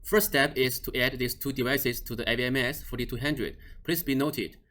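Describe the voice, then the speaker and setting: A man at an ordinary middle pitch walks through a software configuration step by step. He talks plainly and patiently, often restarting sentences and filling pauses with "uh". His phrasing is slightly non-native. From Australia or New Zealand region office. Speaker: product trainer. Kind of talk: screen-recorded software tutorial.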